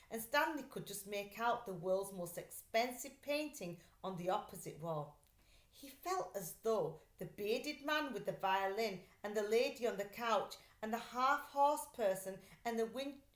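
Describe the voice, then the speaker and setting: Slow, higher-voiced woman reading a children's story. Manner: expressive, deliberate, dramatic. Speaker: teacher reading a story aloud. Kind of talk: storytelling, read aloud for children. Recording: roomy echo.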